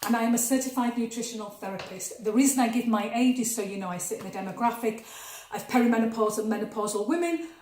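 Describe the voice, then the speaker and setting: A woman speaks in a slightly high voice. She chats through practical health advice in an emphatic, conversational way and repeats words to stress them. The room gives her voice a noticeable echo. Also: quick pace, a little mellow, a little hiss or hum. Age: 50s. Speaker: nutritional therapist. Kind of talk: casual advice vlog to camera. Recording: echoey room.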